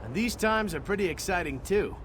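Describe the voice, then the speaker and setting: An actor playing a roguish, eager young warrior in a video game, breezy and swaggering. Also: male voice, high-pitched, lively intonation, fairly quick. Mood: cocky, breezy. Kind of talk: video game character dialogue. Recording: game ambience noise behind voice.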